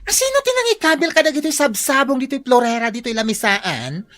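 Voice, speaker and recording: An actress playing a nosy, irritable daughter with an Ilocano accent in a comedy radio drama, loud and complaining. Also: female voice, high-pitched, sing-song, fairly quick. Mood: indignant.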